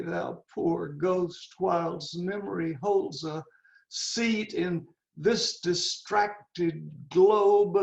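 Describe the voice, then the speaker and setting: A man performing an anguished, intense young prince in a Shakespearean dramatic reading, declaiming haltingly and dwelling on words. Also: sits high, gravelly, heavily acted. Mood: anguished, solemn. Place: indoors on a video call. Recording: roomy, echoing, muffled video call audio.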